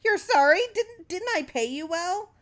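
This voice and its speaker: Woman playing a tyrannical little male producer, storybook villain, loud, blustering, pitched up, indignant. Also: lively intonation, measured pace. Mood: furious, indignant. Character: small, tyrannical, hot-tempered male film producer.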